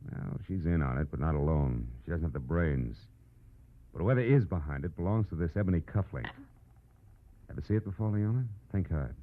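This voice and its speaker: An actor playing a tough, sardonic American private detective in a 1940s radio drama, clipped and wisecracking, on an old recording. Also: male voice, very deep voice, expressive, brisk. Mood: urgent, frank. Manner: clipped, wisecracking, hard-boiled. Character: tough, sardonic male private detective, hard-boiled narrator. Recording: dull old radio recording, faint music.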